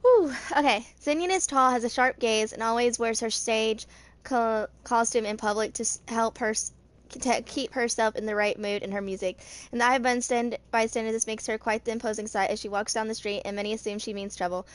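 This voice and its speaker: A woman's voice: a little high, fairly even intonation, fairly quick. A woman in her twenties hosting a gaming channel, reading character stories aloud with casual asides, tripping over words and rereading them. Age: 20s.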